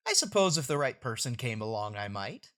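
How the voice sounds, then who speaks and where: A man voicing a laid-back, teasing tiger companion from a comic strip in a musing, unhurried way. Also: mid-range voice, sing-song, fairly bright tone, voice pushed down. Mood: dreamy.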